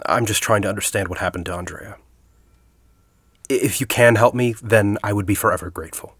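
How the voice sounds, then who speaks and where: A man playing a composed, persuasive university headmaster in an audio drama, speaking in a formal, deliberate way. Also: on the low side, fairly bright tone, breathy edge, dry recording, studio quality. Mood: reassuring. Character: composed, persuasive university headmaster, grieving a colleague, quietly calculating.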